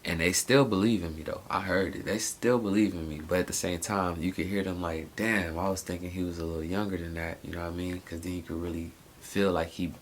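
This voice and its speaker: A man in his late twenties rambles through a casual vlog monologue, circling back and restarting his thoughts. His voice sits very low with a rough, gravelly fry. A faint noise floor hums behind him. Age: 20s. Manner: rambling, slangy, many restarts and asides. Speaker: vlogger.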